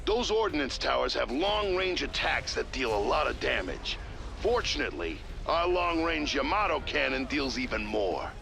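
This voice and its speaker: A man's voice: medium pitch, animated, moderate pace, creaky, noisy background. A man voicing a cocky, folksy starship engineer in a video game, talking in a casual, slangy, brash way. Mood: upbeat briefing. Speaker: ship engineer giving tips. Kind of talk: video game character acting. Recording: loud game music behind.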